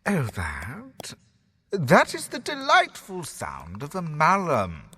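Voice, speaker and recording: A man voices a sinister master of a twisted asylum in an audio drama, his speech wildly swooping up and down. He is grandly formal and mocking, with gleeful laughs, and his tone is bright and sharp. He takes his time over every word. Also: a little high, wildly swooping pitch, takes it slowly, slightly rough, exaggerated voice. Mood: sly. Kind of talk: fan audio drama acting.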